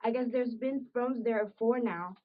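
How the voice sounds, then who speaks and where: A woman's voice: on the high side, moderate pace, natural voice. A woman playing a sickly, bitter, complaining farm wife with old-fashioned rural phrasing in an amateur period drama. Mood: reproachful. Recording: muffled audio, distant microphone.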